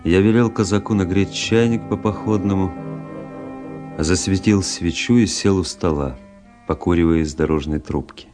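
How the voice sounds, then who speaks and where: An actor playing a world-weary officer who narrates a literary radio play in a measured, brooding, dramatic way. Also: male voice, deep voice, lightly acted. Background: music.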